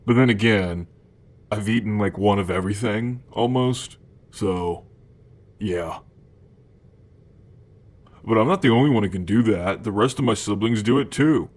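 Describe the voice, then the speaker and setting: A man's voice: on the low side, measured pace, long pauses, darkish tone. A man playing a cocky, food-obsessed male demon in an ASMR roleplay, talking to the listener in a casual, hesitant slacker drawl. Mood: casual bragging.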